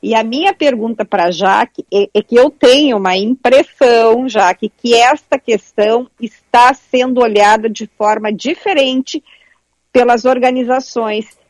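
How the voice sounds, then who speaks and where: A woman's voice: on the high side, a little slow, no room sound. Chatty gaúcha woman co-host. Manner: chatty, teasing, many small restarts. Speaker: radio co-host. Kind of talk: radio talk show chat.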